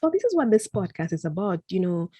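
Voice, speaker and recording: Mellow-voiced woman podcast host. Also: on the low side, big pitch swings, fast, husky, dry recording. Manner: effusive and grateful, with frequent restarts. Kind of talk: podcast interview conversation.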